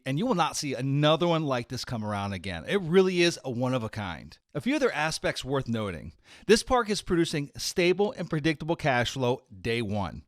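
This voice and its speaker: A man's voice: no room sound, clean studio sound. A man hosting a real estate podcast, reading an upbeat sponsor spot and then asking questions with lots of restarts and fillers. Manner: energetic pitch, then rambling restarts when asking. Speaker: podcast host. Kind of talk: podcast interviewing and read ad.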